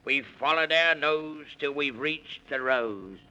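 A jovial, blustering British walrus in a vintage children's radio play. The voice is highish and very bright, forceful and clean, and it rolls out pompous rhymes at an unhurried pace. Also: male voice, lo-fi sound, heavily acted. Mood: pleased. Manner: pompous, jovial, slow rhyming. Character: hearty, blustering gentleman walrus, loyal adventurer. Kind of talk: 1930s children's radio acting.

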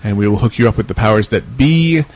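A man's voice: fairly low pitch, expressive, quick pace, darkish tone. A guy at the table of a hobbyist internet radio show, trading banter and making announcements with his co-hosts. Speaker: podcast co-host. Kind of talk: casual group banter and announcements.

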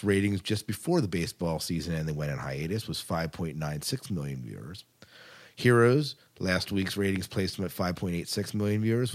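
An American man hosting a podcast, reading news items and chatting casually between them. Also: deep voice. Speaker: podcast host. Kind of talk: podcast news segment, partly read, partly casual chat.

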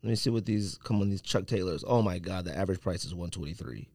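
A man's voice: low voice, fast talker, vocal fry, darkish tone, no room sound, clean studio sound. A man in casual sneaker podcast banter, talking to himself and complaining in slangy speech. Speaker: podcast host. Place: podcast studio.

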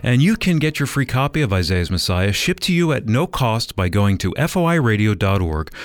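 Lowish, animated American male radio host. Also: brisk, no echo. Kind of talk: scripted radio announcing. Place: dry studio.

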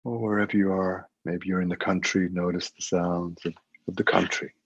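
Low, husky man guiding meditation. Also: level tone, dry recording. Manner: gentle, unhurried instruction with long pauses. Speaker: meditation teacher. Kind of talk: guided meditation. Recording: muffled, dull lo-fi audio.

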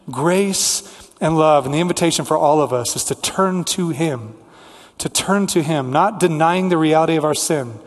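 A man preaching a sermon as a pastor, speaking earnestly with deliberate repetition and lists. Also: a little high. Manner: earnest, rhetorical repetition and listing. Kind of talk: sermon with prayer and scripture reading. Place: large reverberant church room. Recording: hall reverb, amplified church preaching.

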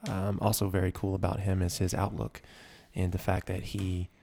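A man hosting a podcast, talking in a relaxed, admiring way and saying "you know" a lot. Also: sits low, normal speed, creaky. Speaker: podcast host. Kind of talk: casual podcast conversation.

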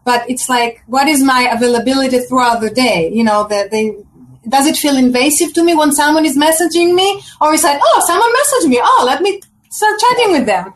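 High-voiced woman. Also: animated, fairly quick. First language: Greek. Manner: hesitant, searching for words, warm laughs. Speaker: guest. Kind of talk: casual chat on a video call.

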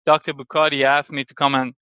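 A man's voice: mid-range voice, flat delivery, fast talker, bright, forceful tone, clean tone, no room sound. A man in his thirties from Iran, a guest chatting casually in an interview, laughing often and checking in with "correct?". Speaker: guest, company founder.

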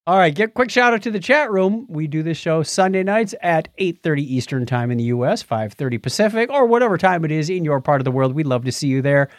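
A man's voice: a little high, lively intonation, fast talker, hardly any pauses, no echo, studio quality. A man co-hosting a podcast in a playful, informal way, moving between casual chat and read-out items. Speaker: podcast co-host.

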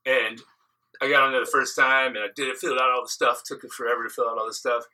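Host, male, mid pitch, bright, animated and opinionated. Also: fast. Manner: animated, storytelling, lecturing tone. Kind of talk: casual chat.

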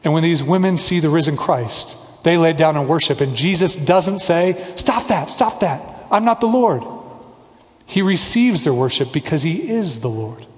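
A man's voice: fairly high pitch, lively intonation, normal speed, big-room sound. A man preaching a sermon as pastor, earnest and conversational, with playful asides.

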